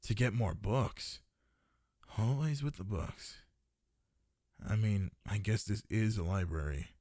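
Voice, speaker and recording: Low-voiced flirty dragon librarian, acted by a man. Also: expressive, slow, darkish tone, no echo, clean studio sound. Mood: flirty, persistent.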